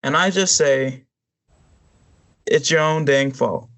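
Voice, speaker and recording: A man's voice: medium pitch, takes it slowly, a bit bright. A man co-hosting a podcast, ranting casually to friends in a blunt, emphatic way. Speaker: podcast co-host. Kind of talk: casual opinion rant among friends.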